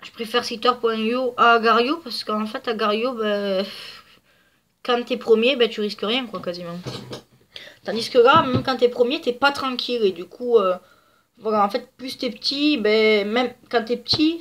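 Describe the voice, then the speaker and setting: A woman's voice: on the high side, normal speed, fairly bright tone. A woman with a stuffy, nasal voice from a cold, chatting casually through gameplay commentary with lots of fillers. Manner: chatty, rambling, many fillers. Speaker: gaming video creator.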